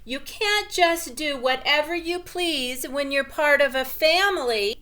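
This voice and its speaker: A woman's voice: high voice, measured pace, bright, forceful tone, voice pushed up. A woman voicing a nagging, exasperated mother in a children's storybook, firm and emphatic.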